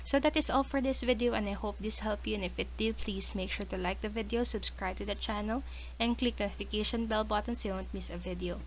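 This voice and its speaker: A woman's voice: medium pitch, quick pace, rough recording. A woman presenting a step-by-step tech tutorial in an instructional manner, as if reading prepared steps, with the odd stumble. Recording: clear background noise.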